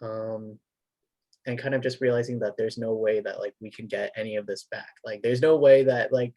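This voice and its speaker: A man's voice: on the low side, fairly even intonation, normal speed, mellow, no echo, poor audio. A young man hosting a casual conversation, thoughtful and loosely phrased with filler words. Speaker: host.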